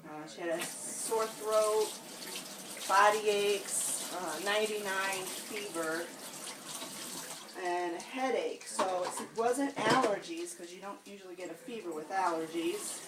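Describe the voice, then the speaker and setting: A woman vlogging casually at home with a raspy, hoarse voice from allergies, chatty and rambling with plenty of ums. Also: mid-range voice, slow pace, echoey room, far from the mic, background noise. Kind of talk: casual rambling vlog chat. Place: home and outdoor animal pens. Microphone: handheld camera, self-filmed.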